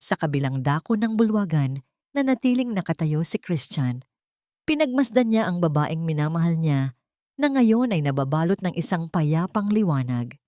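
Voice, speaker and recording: A woman storyteller narrating a scripted dramatic tale in a deliberate, emotive manner. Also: low-pitched, sing-song, dark tone, no echo, studio quality.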